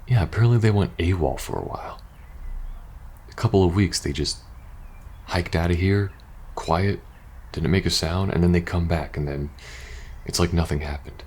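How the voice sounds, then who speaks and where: A man playing a weary, uneasy soldier confiding in his best friend in a post-apocalyptic ASMR audio roleplay, speaking haltingly and casually. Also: deep voice, darkish tone, slightly rough. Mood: puzzled, grumbling. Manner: hesitant, halting, casual swearing, sighing.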